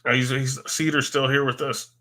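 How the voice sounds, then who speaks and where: A man's voice: mid-range voice, level tone, normal speed, sharp tone. A man hosting a live stream in a casual chatty way, often hesitating and repeating his words.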